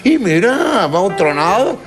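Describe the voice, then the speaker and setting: A man's voice: high voice, moderate pace. An older man with a Chilean accent telling a crude joke on a TV stage, swearing constantly and putting on voices for the people in his story. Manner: profane, animated storytelling with voiced quotes. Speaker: stand-up comedian. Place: TV studio stage. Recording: loud background music.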